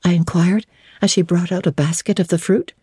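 A woman reads classic fiction aloud with measured, theatrical delivery and formal diction. Her voice is lowish, soft-edged and husky, captured close and dry. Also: fairly even intonation, no room sound. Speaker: audiobook narrator. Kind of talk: dramatic reading of classic literature, first-person narration.

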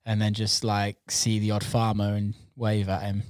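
Easygoing podcast host, lowish voice. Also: male voice, monotone, a little mellow. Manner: easygoing, quick interjections, curious questions. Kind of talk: casual podcast conversation.

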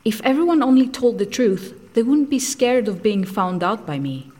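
A woman's voice: on the high side, lively intonation, moderate pace, mellow. An actress playing a frank, socially outcast woman scientist in an audio drama, speaking in a blunt, matter-of-fact way. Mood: bitter, earnest.

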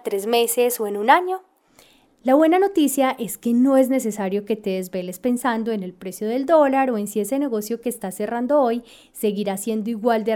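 A woman hosting a podcast, reading scripted educational narration in a measured, explanatory way. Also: fairly high pitch, a little mellow. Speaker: podcast host.

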